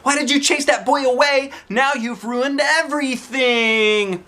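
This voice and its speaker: Casting: bossy whiny schoolgirl, shrill and indignant, put on by a man. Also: unhurried, bright, forceful tone, heavily acted.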